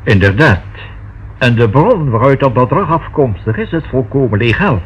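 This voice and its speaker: A man's voice: medium pitch, animated, close to an everyday voice. A man acting a discreet, proper notary in a Dutch radio play, speaking formally and choosing precise words. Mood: guarded, polite. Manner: formal, measured, precisely worded. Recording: dull, faintly noisy old radio recording.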